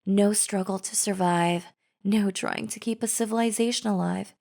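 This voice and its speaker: A woman's voice: mid-range voice, steady tone, moderate pace, gravelly, a little mellow, close to an everyday voice. A woman playing a chatty female zombie in an audio roleplay, casually coaxing the listener with false friendliness and a sly, wheedling drawl. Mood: casual, persuasive. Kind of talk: scripted audio roleplay acting, addressing the listener.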